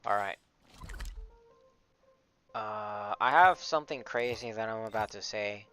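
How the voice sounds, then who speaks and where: Slow, laid-back young male streamer. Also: fairly low pitch, a bit bright, clean tone. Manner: laid-back, halting, slangy. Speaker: game streamer. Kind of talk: casual livestream commentary. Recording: game music underneath.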